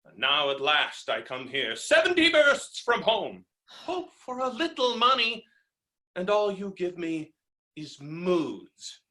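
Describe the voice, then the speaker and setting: A man's voice: high-pitched, very animated, slow pace, pushed and bright, full character voice. A man playing a blustering, hot-tempered creditor in a theatrical stage comedy reading, ranting with exasperated, melodramatic exclamations. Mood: indignant complaining. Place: indoor room, recorded remotely. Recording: roomy, echoing virtual staged-reading recording.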